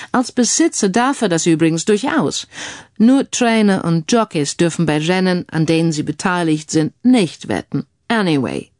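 A woman voicing a suave, wry British gentleman posing as an earl in an audio crime story, sprinkling English phrases into speech. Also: medium pitch, no echo, clean studio sound, close to an everyday voice.

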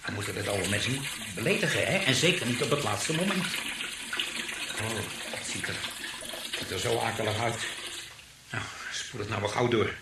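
An actor playing a two-faced, snobbish husband, polite on the surface and disdainful underneath, in a radio play. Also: male voice, on the low side, expressive, normal speed, pauses often, lightly acted. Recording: roomy, noisy radio drama recording.

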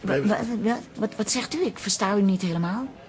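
A woman's voice: medium pitch, a little fast, crowd noise, close to an everyday voice. A woman acting in a radio drama as a polite, bewildered celebrity, hesitant and courteous. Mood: polite, puzzled.

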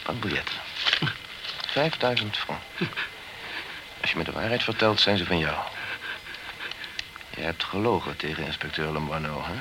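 A noisy radio drama recording of a dogged male investigator with a low voice. He interrogates insistently and coolly, pressing and persuading as he probes for answers. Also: normal speed, long pauses. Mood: probing, insinuating. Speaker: actor in a crime radio drama. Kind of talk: crime radio drama acting.

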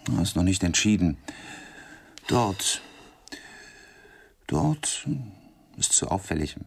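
A man's voice: low-pitched, big pitch swings, slow, creaky, slightly rough, exaggerated voice. An actor playing a cultured, self-satisfied middle-aged man in a German radio drama, speaking in a pompous, emphatic, condescending way. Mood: matter-of-fact.

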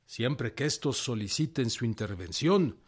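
An actor playing a solemn, defiant Mexican revolutionary leader, declaiming proclamations formally in a radio drama. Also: male voice, medium pitch, animated, unhurried, slightly rough. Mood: firm, solemn. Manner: oratorical, emphatic, formal.

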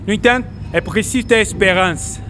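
A man playing a confident, kindly male French novelist in a radio drama, assured and warmly formal. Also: high voice, brisk, slight background noise. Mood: earnest.

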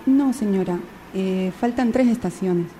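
A helpful, courteous woman passenger played by an actress in a radio play, speaking. Her tone is very dark and mellow, at a medium pitch. The recording is somewhat noisy. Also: a little slow, natural voice. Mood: polite. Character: helpful, polite female fellow subway passenger. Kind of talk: radio theatre acting. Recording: noisy amateur workshop recording.

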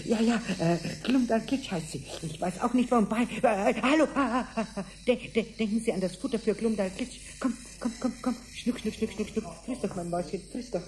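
A man's voice: sits high, brisk, few pauses, darkish tone, slight background noise, lightly acted. An older man playing a forgetful, confused ancient man in a radio play, stammering, muttering and repeating himself. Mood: doting, fretful. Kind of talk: science fiction radio play acting.

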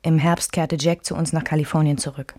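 A woman's voice: sits low, flat delivery, fairly quick, heavy background noise, lightly acted. An actress playing a wistful woman who intimately recalls a past love triangle in a literary radio play. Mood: wistful, tender.

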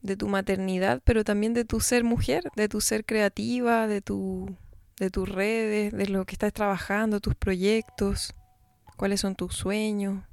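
A woman radio host introducing a guest and asking questions in a halting way, often restarting her sentences. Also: mid-range voice, fairly even intonation, creaky, a little mellow, no echo, clean studio sound. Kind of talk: radio interview.

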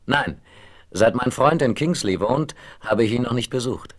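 An actor playing a courteous, brisk young railway engineer in a German radio play western. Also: male voice, medium pitch, expressive. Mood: friendly, polite. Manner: polite, businesslike.

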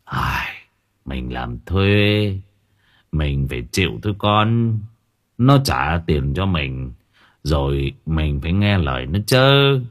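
A man voicing a kindly, resigned old labourer in an audio story, gentle, cautious and fatherly. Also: extremely low pitch, expressive, takes it slowly, pitched below the performer's own voice, exaggerated voice. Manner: gentle, worried, advising patience.

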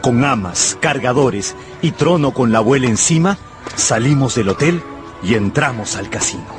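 An actor playing an earnest, lovestruck young man who narrates his own story in an expressive radio drama style. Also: mid-range voice, moderate pace, music in the background, close to an everyday voice. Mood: earnest, narrating. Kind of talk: dramatised first-person narration.

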